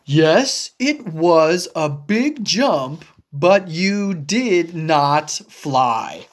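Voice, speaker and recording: Picture-book elephant, male, acted by a woman: low, slow, deadpan naysayer, firm and doubtful. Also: exaggerated voice. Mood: correcting. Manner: flat contradictions, slow and emphatic. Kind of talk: children's picture book read-aloud.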